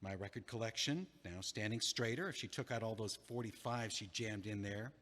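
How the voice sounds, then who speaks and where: A man's voice: lowish voice, normal speed, a bit bright, in a large hall. An actor playing a hesitant, self-deprecating man who rambles as he pictures his old home, in an audio play. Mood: rambling. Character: nervous, wry man locked out of his home.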